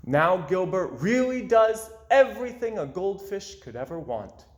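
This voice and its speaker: A man reading a children's storybook aloud in an expressive style, with dramatic pauses and drawn-out words. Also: high voice. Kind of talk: expressive read-aloud narration. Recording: roomy, echoing indoor sound.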